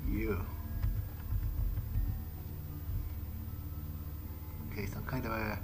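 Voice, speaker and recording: A man's voice: mid-range voice, background noise, lightly acted. A man voicing a curious, wary vampire in a video game playthrough, casually thinking aloud to himself. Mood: curious, musing. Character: curious, wary male vampire exploring a creepy mansion. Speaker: let's player playing in character. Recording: music behind the voice. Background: game soundtrack music.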